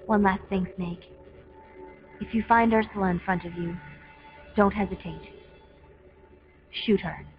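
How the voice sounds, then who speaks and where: Actress doing a calm serious soldier girl in a video game, medium voice, kinda muffled sound. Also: mid-range voice, unhurried, pauses often, soft background music, lightly acted. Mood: grave. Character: calm, fatalistic young woman soldier with psychic foresight. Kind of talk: dramatic video game acting.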